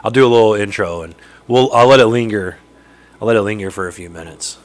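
A man's voice: fairly low pitch, quick pace. A man in his thirties with a nasal voice, getting over a cold, hosting a casual livestream and greeting viewers by name from his home fishroom. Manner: chatty, greets viewers by name, laughs often. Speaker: livestream host. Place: home fishroom with aquariums, indoors. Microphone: studio mic on stand close in front.

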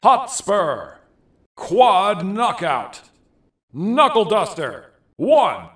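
Bombastic high-pitched game announcer. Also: male voice, sing-song, a bit bright, roomy sound, far from the mic, full character voice. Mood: bombastic, hyped. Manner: theatrical, drawn-out, emphatic delivery. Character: bombastic, hyped male fighting-game arena announcer. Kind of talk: fighting game announcer calls, short and dramatic.

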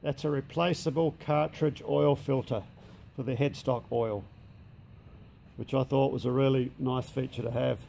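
A plain-spoken Australian man at a middling pitch, with a gravelly, creaky edge to his voice. He talks a viewer casually through his machine shop, dropping in a bit of slang. He is caught on a handheld camera inside a large workshop shed, with faint background noise. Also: fairly even intonation, moderate pace, warm tone. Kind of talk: casual walkthrough narration.

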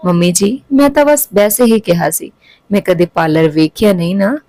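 A woman's voice: mid-range voice, normal speed, warm tone, dry recording, lightly acted. A woman voicing a timid, obedient young daughter in an audio story, explaining herself fearfully and apologetically. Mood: timid, apologetic.

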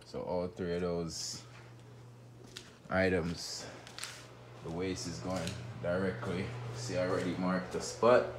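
Deep slow-talking new guy rambling thru his half-built basement on his phone, faint hum behind. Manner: relaxed, rambling, informal speech. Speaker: homeowner showing his basement renovation. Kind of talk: casual walkthrough narration. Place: unfinished basement under renovation. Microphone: phone camera held while walking.

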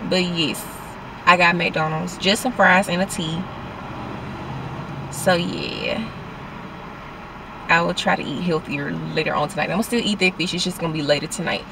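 A woman's voice: fairly low pitch, background noise. Young Southern US woman chatting casually. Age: young adult. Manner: informal, conversational, addressing viewers as y'all. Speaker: vlogger. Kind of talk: casual vlog chat to viewers.

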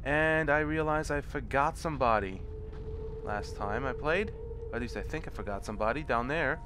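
Young male let's player, stuffy from a cold, sarcastic and profane, mid-pitched, noisy home recording. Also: moderate pace, a bit bright. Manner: swearing, sarcastic, talks to enemies on screen. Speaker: let's play commentator. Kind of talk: casual gameplay commentary. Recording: home recording with clear background noise.

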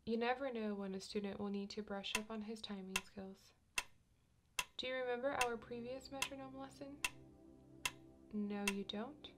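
A woman in an ASMR sleep hypnosis roleplay as a piano teacher, speaking slowly and softly with gentle command, repeating phrases and slipping into whispers. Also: a little high, steady tone, heavy background noise. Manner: slow, soft, repetitive and gently commanding; drops into whispers at times. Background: ticking metronome and music.

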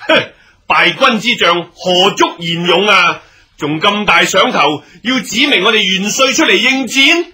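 An actor playing a cocky vanguard officer in a radio drama, brash, mocking and declamatory, with a Hong Kong Cantonese accent. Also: male voice, high voice, expressive, a little slow, sharp tone, exaggerated voice. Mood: scornful. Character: cocky, taunting male vanguard officer in battle.

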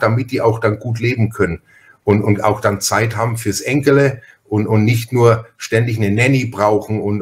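Male podcast host, mid-range pitch, talkative and personal conversational interviewer. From Franconia, Germany.

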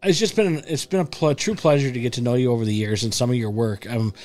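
A middle-aged American man hosting a casual podcast in an animated, rambling way, close on a studio mic. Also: mid-range voice, quick pace. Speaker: podcast host. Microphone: close boom-arm podcast microphone with headset.

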